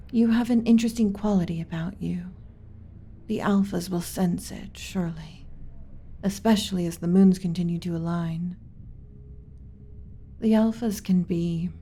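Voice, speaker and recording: A woman playing a gentle, submissive alien servant in an ASMR roleplay, speaking softly and breathily with hesitant, deferential pauses and some whispering. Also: on the low side. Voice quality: soft, breathy with whispered stretches. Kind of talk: ASMR roleplay, soft intimate delivery.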